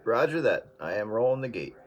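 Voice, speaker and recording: A man calling in on a casual radio chat, relaxed and hesitant, with many fillers. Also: lowish voice, brisk, very noisy. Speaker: radio caller.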